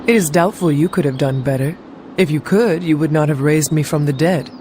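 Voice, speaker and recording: An actor playing a stoic resurrected warrior hero in a video game cutscene, speaking formally in terse, measured phrases. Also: male voice, on the high side, noisy background, close to an everyday voice. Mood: cool rebuke. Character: solemn, stoic resurrected demon-hunting warrior in armor. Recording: loud music underneath. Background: music.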